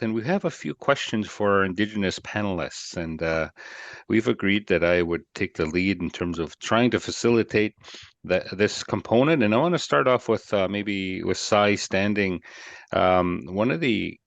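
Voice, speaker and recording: Deep-voiced Canadian man moderating. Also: lively intonation, dry recording. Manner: unhurried, with many filler pauses. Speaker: webinar co-moderator. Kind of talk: hosting a panel webinar. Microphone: video call.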